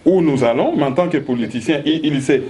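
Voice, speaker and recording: A man's voice: on the high side, expressive, brisk, dark tone. A man moderating a debate who cuts in to challenge the speakers. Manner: challenging, interjecting. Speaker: debate host.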